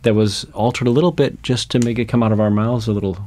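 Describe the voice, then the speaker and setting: A man's voice: fairly low pitch, steady tone, quick pace, dark tone. A man, an actor, talking casually in an interview. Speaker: actor on a panel.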